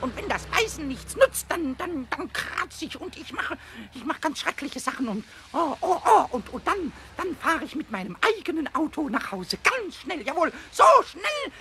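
A man's voice: very high-pitched, very animated, normal speed, few pauses, noisy background. An actor voicing a small, mischievous, hot-tempered male kobold in a children's radio play, sputtering and repeating himself in his rage. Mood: furious, boastful.

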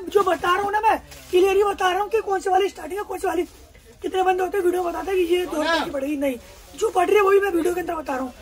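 A young man working as a wholesale shopkeeper, rattling off prices in an emphatic sales pitch and repeating his terms, in a crowded indoor market shop.

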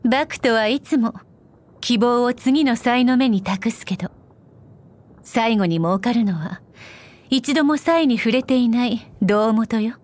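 Slow, elegant noblewoman. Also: on the high side, animated, darkish tone. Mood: shrewd, assured. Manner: elegant, composed, teasing, deliberate. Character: poised, shrewd, wealthy noblewoman trader and ruler. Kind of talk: scripted anime game character voice lines.

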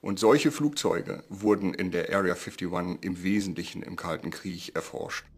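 A man's voice: low voice, moderate pace, slightly rough. A man giving explanatory commentary as an expert in a documentary interview. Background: faint background music.

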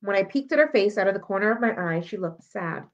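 A woman's voice: mid-range voice, expressive, quick pace. A woman reading a children's novel aloud expressively, as a library storyteller. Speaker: library read-aloud reader. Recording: dull lo-fi audio.

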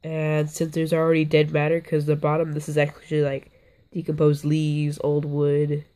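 A young man with a hoarse voice from being a little sick, casually rambling through a hands-on vlog from his floor, often stopping to correct himself. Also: on the high side, monotone, normal speed, mellow. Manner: rambling, self-interrupting, offhand. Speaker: bug-keeping vlogger. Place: indoors, on a carpeted floor.

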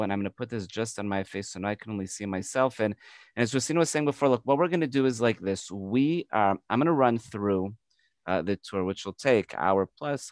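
A man in his forties with an American accent, a tour guide giving a virtual tour over a video call on a headset, talking quickly with frequent restarts. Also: lowish voice, dry recording. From United States. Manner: fast, energetic, repeats words when restarting. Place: home by an open window.

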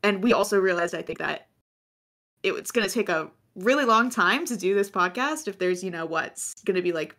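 An American woman in her twenties with a low voice, co-hosting a podcast in casual, rambling chat. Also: lively intonation, a little fast, a bit bright. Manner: chatty, laughing often, rambling. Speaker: podcast co-host.

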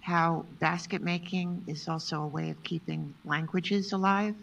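A seminar moderator asking questions in a soft, hesitant way, with many fillers. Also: medium pitch, fairly even intonation, unhurried, creaky, highs cut off. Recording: distant voice in a lecture room.

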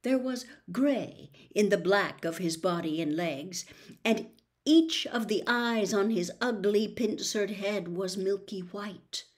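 A woman in her sixties reading a story aloud in a bedroom, with deliberate, suspenseful, theatrical narration. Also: mid-range voice. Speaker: storybook reader. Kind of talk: dramatic audiobook-style narration. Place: small bedroom, indoors.

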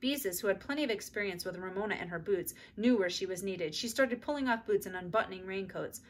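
A middle-aged woman reading a children's book aloud in expressive storybook narration, recorded in a room at home. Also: mid-range voice, quick pace. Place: small indoor room at home.

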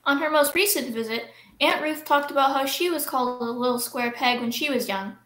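A woman's voice: high-pitched, a little fast, a bit bright. A teenage girl reading a picture book aloud over a video call from an echoey room.

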